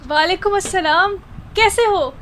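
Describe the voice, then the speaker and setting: A fretful mother in a radio play. Her voice is very high, with a nagging edge, and faint noise sits behind it. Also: unhurried, a bit bright. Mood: concerned. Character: fed-up, worrying housewife mother of a naughty schoolboy. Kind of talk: Urdu radio drama acting.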